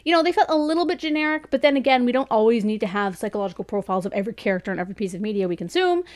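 Woman in her 30s doing a chatty review, kinda high voice, super informal. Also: lively intonation, racing. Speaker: book reviewer and host. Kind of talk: casual review talk to camera. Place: small indoor room lined with bookshelves.

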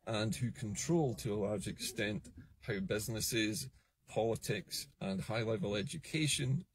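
A Scottish man in his fifties giving an earnest, measured political monologue to a phone camera from a parked car. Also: lowish voice. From Scotland. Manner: earnest, measured, emphatic on key points. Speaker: political commentator. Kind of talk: solo political vlog monologue. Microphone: phone camera at arm's length.

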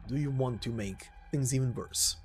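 A man voicing a gruff, stern, cautious mercenary who speaks in a measured, guarded, commanding way in an amateur game story reading. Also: mid-range voice, a little hiss or hum, lightly acted. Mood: stern, suspicious.

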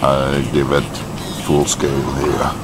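A man in his thirties chatting casually in a shop, halting with many fillers, and slightly hoarse from a cough. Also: very deep voice, completely flat delivery, over loud music. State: has a cough. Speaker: vlogger. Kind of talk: casual chat. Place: indoors in a large shop.

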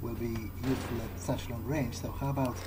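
A man's voice: mid-range voice, fairly even intonation, a little fast, lightly acted. A man playing an irreverent male vampire adventurer in a game, thinking aloud in a muttering, hesitant way. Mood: musing. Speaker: gamer playing in character. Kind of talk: in-character gameplay commentary, thinking aloud. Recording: clearly noisy game sound behind voice. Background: game ambience.

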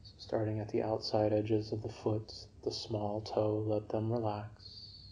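Mellow, low-voiced man guiding meditation. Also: monotone, dull audio. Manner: gentle, unhurried, soothing. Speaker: meditation guide. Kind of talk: guided yoga nidra relaxation for sleep.

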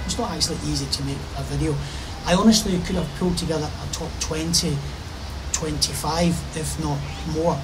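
A man's voice: on the high side, background noise. A middle-aged man talking to camera in an enthusiastic, casual way from a covered pavilion in a park. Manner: enthusiastic, gesturing with his hands while he talks. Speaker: vlogger.

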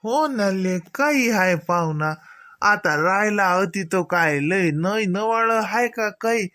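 A man voicing an elderly, protective village father in a comedy cartoon, with a halting, homely manner and a rural Varhadi Marathi accent from Vidarbha. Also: sits high, expressive, pushed and bright, no echo, clean studio sound, full character voice. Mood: questioning, resigned.

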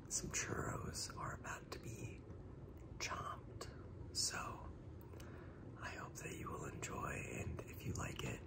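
Whispering young man, very low and slow. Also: creaky, far from the mic. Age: young adult. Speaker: ASMR creator introducing a video. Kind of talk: whispered ASMR introduction. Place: small room indoors, on a couch.

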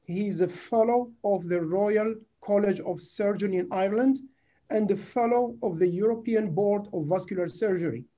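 A man formally introducing a speaker on a video call, reading out a biography haltingly and repeating phrases. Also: high voice, mellow. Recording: dull lo-fi video call audio.

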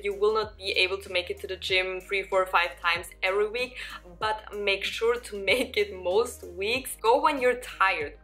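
A young woman talks straight to the camera from her living room, easygoing and encouraging, piling up repeated words when she wants to stress a point. Her voice is bright and clear at a middling pitch, and quiet music plays faintly behind her. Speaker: fitness vlogger. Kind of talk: casual talk to camera. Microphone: camera at desk distance.

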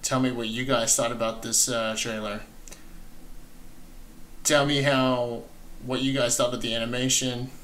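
Bright-voiced man giving casual reaction commentary. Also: medium pitch, a little hiss or hum. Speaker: reaction video host. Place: small indoor room at home.

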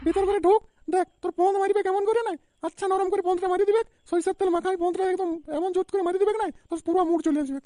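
A performer voicing a brash, bossy old village grandmother in an amateur comedy cartoon, with a pitched-up voice. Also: fairly even intonation, very fast, hardly any pauses, warm tone. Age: older. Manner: crude, boastful, insistent. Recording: faint background noise.

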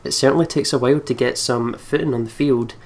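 A man's voice: mid-range voice, quick pace, a little mellow. A man reading visual novel narration aloud for a let's play.

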